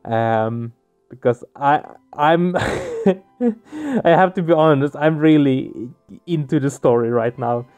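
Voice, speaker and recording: Let's play host, male: slightly high, reactive and expressive, reading story text over light music. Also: slow pace.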